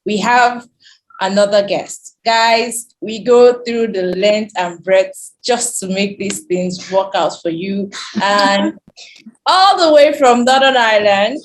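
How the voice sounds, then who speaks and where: A woman's voice: medium pitch, slow, a little hiss or hum, low-quality recording. This is a woman hosting her own video channel. Her delivery is upbeat and chatty as she greets viewers and brings in a guest. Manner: upbeat, chatty, laughs easily. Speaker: YouTube host. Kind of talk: casual channel intro and interview.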